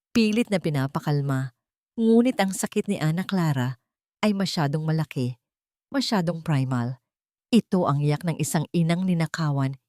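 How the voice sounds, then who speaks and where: A woman with a low voice narrates a dramatic audiobook. Her phrasing is solemn and deliberate, and the sound is dry, with no room echo. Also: mellow. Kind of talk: scripted dramatic story narration.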